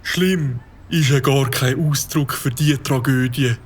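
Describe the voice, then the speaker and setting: A man's voice: mid-range voice, slow, husky. A man voicing a fussy, learned bird-watcher in a cartoon, speaking German with a Swiss accent and a pompous, long-winded manner. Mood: earnest.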